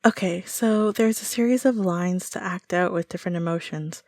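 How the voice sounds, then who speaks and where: A woman's voice: on the low side, moderate pace, a little mellow, close to an everyday voice. Woman acting eager, nervous auditioning actress. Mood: eager, polite. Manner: polite, earnest, eager. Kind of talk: audio roleplay of an audition.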